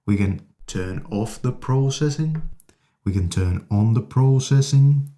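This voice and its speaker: A man presenting an informal product demonstration in halting, piecemeal phrases. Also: mid-range voice, animated, dark tone. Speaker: presenter reviewing an audio interface.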